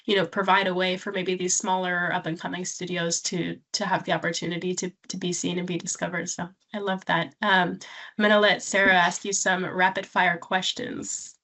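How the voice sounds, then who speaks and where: A woman's voice: on the low side, flat delivery, moderate pace, bright, forceful tone, rough recording. A woman hosting a podcast interview over a remote video call, speaking casually and conversationally. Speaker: podcast host.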